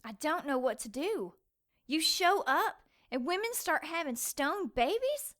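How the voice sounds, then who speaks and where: Young small-town woman, high-pitched, sharp, suspicious and frightened, accusing, radio drama. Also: big pitch swings, measured pace, full character voice. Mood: accusing, nervous. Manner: accusatory, confrontational. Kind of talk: audio drama acting.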